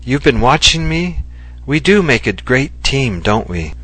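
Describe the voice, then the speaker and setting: A man voicing a gentle, loving Japanese wife who speaks earnestly and reflectively. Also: medium pitch, sing-song, a little slow, breathy edge, voice pushed up, full character voice. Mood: earnest, tender. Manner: earnest and heartfelt, softly reflective.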